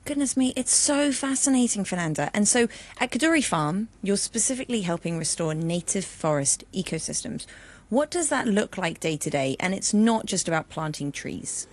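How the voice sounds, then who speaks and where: A woman's voice: mid-range voice, expressive, moderate pace. A woman hosting an interview, attentive, asking questions and murmuring encouragement. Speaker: interview host.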